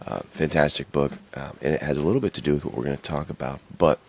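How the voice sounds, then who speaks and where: A man's voice: extremely low pitch, quick pace, darkish tone, a little hiss or hum. A man co-hosting a podcast in casual, informal chat with plenty of slang. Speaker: podcast co-host.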